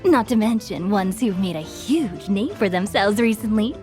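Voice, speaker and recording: A woman's voice: medium pitch, animated, normal speed, close to an everyday voice. A woman voicing a cheerful, gossipy young tavern keeper in a video game. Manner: friendly, gossipy. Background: game music.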